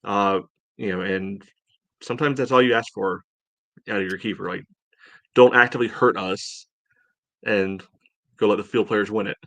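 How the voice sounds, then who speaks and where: A man co-hosting a sports podcast, casually discussing a soccer match in a measured, hedging way. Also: fairly low pitch, fairly bright tone, no room sound. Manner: measured, hedging, frequent "you know".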